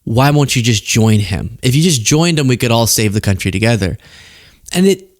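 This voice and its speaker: A man's voice: medium pitch, expressive, moderate pace, no room sound, studio quality. A man hosting casual political commentary in a wry, conversational way. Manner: wry, conversational, reading messages aloud mockingly. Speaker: political commentator and show host.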